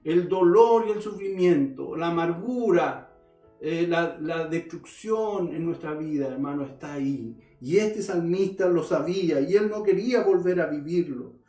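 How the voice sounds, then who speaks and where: A man preaching a sermon in a high voice and a slow, deliberate pace. He speaks earnestly and directly to his listeners as he reads scripture aloud. The recording is roomy and echoey, with a faint guitar behind him. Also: mellow, far from the mic. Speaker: pastor. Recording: roomy echo.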